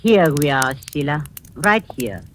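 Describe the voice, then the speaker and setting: An actress playing a scheming, falsely sweet middle-aged aunt in old radio suspense style, with halting, menacing delivery. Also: female voice, deep voice, sing-song. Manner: cloying endearments, deliberate halting menace. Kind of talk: 1940s radio suspense acting. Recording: noisy old-time radio recording.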